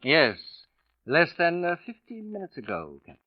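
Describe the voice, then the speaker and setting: An actor playing a suave, self-satisfied crime writer in a 1940s radio mystery, speaking precisely and theatrically with savored pauses. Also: male voice, a little high, wildly swooping pitch. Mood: smug, polite. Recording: dull old radio recording with music.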